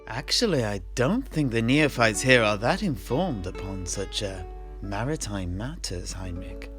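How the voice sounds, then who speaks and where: A man playing a chatty fantasy tavern keeper, polite and wordy, with old-fashioned gentlemanly phrasing. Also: on the low side, big pitch swings, unhurried, faint music behind. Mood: courteous. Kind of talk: in-character fantasy tavern conversation.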